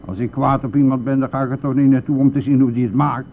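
An actor playing a cheeky, nosy, grumbling village bus driver in a radio play, with a teasing, blustering manner. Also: male voice, medium pitch, fairly even intonation, quick pace, close to an everyday voice. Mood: prying. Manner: teasing, blustering, chuckling at own jokes. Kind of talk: Dutch radio play acting. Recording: tinny narrow-band old radio recording, vehicle noise.

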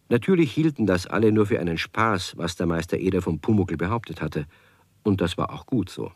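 A man narrating a children's radio play in a calm, measured storytelling manner. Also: sits low, husky, no echo, full character voice.

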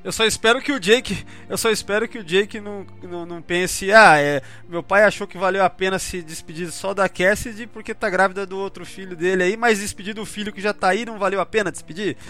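A man's voice: high-pitched, fairly quick, few pauses, sharp tone, soft background music. A Brazilian man hosting a podcast in casual chat, informal and questioning, often repeating himself. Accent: Brazilian Portuguese. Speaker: podcast host.

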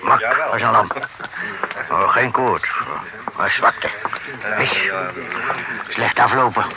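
An actor playing a gruff doctor in a radio drama, talking in clipped telegraphic fragments with a gravelly voice. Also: male voice, fairly low pitch, slow pace. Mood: curt, grave. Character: curt, gruff doctor at a sickbed. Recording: noisy old radio drama recording.

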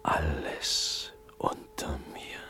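An actor playing a dreamy young prince in a classical verse radio play, hushed and halting, often slipping into whispers. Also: male voice. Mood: rapt, dreamy. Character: dreamy, entranced young prince facing death.

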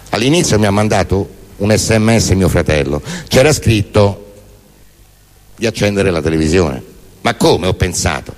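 A man performs theatrical spoken word as a stage monologue, in a deadpan, declamatory manner. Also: deep voice. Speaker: stage performer reciting poems and prose monologues.

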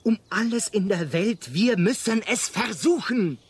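Radio play: earnest schoolmasterly castaway, slow-paced, high voice, stubbornly hopeful. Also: male voice, lively intonation, slightly rough, exaggerated voice. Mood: earnest, pleading.

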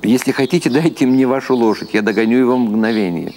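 A man voicing a sly, hungry male wanderer who spins solemn tales with mournful deadpan, in a storybook reading. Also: medium pitch, fast talker, pitched above the performer's own voice, close to an everyday voice. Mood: glum, wily.

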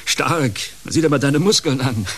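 A man's voice: medium pitch, expressive, unhurried, a little hiss or hum. An actor playing a weary, wry older man, a former television actor, in a naturalistic radio play. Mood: soothing.

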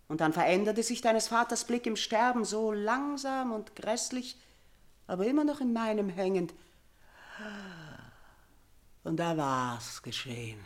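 A guilt-ridden, imperious queen of middle years in a classical radio play. She speaks theatrically in a medium-pitched voice, moving from uneasy murmurs to commanding outcries. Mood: troubled, brooding. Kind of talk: classical German radio drama acting.

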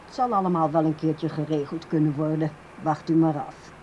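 An actress playing a controlling grown daughter in a radio drama, speaking in a brisk, formal, placating way. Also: female voice, low-pitched, fairly even intonation, warm tone, highs cut off, slight background noise, close to an everyday voice. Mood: polite, dismissive. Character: polite but evasive, controlling adult daughter.

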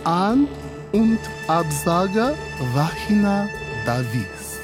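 A woman's voice: on the low side, very animated, very slow. A woman reading out the scripted credits of a radio play as its announcer. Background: music.

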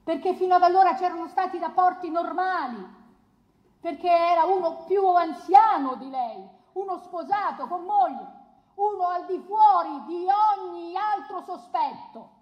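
An actress playing an earnest, indignant female lawyer in a stage courtroom drama, giving a grave, deliberate closing plea with emphatic repetitions. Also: very high-pitched. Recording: echoey hall, dull muffled sound.

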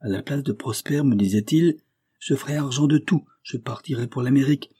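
Man reading as an insistent, affectionate young gentleman. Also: medium pitch, brisk, husky, no echo, clean studio sound, pitched above the performer's own voice. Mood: urging. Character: earnest young gentleman, solicitous, urging friend. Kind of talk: audiobook character dialogue.

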